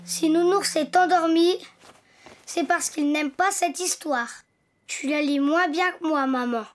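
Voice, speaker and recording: High-pitched, boastful little cartoon donkey boy. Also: unhurried. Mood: self-assured. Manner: childlike, boastful, theatrical. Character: playful little boy donkey, imaginative and cheeky. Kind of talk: children's cartoon voice acting.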